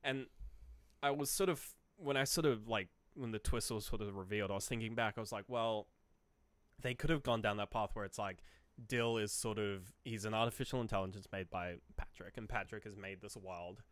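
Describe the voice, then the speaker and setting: A man co-hosting a podcast, chatting casually about films in a rambling, halting way, often quoting imagined reactions. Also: lowish voice, fairly bright tone, dry recording. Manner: rambling, halting explanations full of hedges and self-quotes. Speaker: podcast co-host. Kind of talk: casual film discussion.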